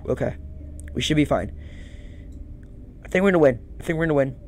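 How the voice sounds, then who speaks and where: A man's voice: a little high, a little fast, a little hiss or hum. A young man giving casual let's-play commentary, muttering and repeating his phrases. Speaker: gaming video host. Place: small room indoors. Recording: loud game audio over voice. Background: loud game music.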